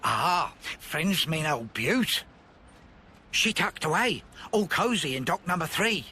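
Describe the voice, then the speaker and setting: Small game creature, high-ish, bouncy pitch, breathy husk, broken grammar. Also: male voice, animated, slow pace, pushed and bright, heavily acted. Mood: cheerful. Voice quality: broken clipped speech. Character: chirpy small round creature craftsman. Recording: long reverb.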